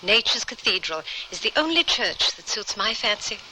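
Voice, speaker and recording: A woman's voice: medium pitch, big pitch swings, normal speed, sharp tone. An actress playing a prim, strong-willed, pious lady adventurer with formal, genteel speech in an old radio drama. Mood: proud, defiant. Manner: formal, genteel, precise phrasing. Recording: noisy old radio recording.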